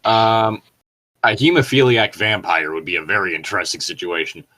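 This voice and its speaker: A man with a fairly low voice co-hosts a comedic reading. He talks casually, slipping between dramatic narration and explanatory asides, and swears freely. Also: steady tone, normal speed, sharp tone. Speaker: co-host reading and mocking fan fiction.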